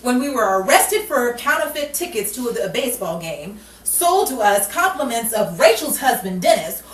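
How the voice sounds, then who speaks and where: A woman's voice: fairly high pitch, big pitch swings, moderate pace. A woman playing a sarcastic, exasperated 1940s Hollywood wisecracker in theatrical radio comedy style. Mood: sardonic. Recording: roomy, distant mic, noisy live room.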